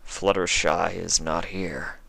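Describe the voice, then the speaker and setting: A man voicing a sad male shapeshifting insect creature with a hushed, flat, stiff delivery. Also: low voice, slow pace, gravelly, dry recording, heavily acted. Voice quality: hushed, sometimes whispery.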